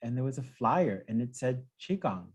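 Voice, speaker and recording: A middle-aged man from California, a podcast guest telling his personal story in a friendly, relaxed, conversational way. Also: mid-range voice, moderate pace, breathy edge. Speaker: podcast guest, healing teacher. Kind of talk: podcast interview.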